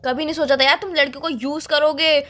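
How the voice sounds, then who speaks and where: A woman's voice: high-pitched, fairly even intonation, fast, a bit bright, soft background music. A young woman playing a hurt, accusing friend who confronts a man over a call, scolding him with pointed questions.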